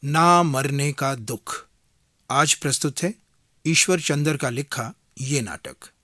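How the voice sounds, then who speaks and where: A man giving a formal, measured scripted introduction to a radio play as its announcer. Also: medium pitch, a bit bright, no room sound, studio quality.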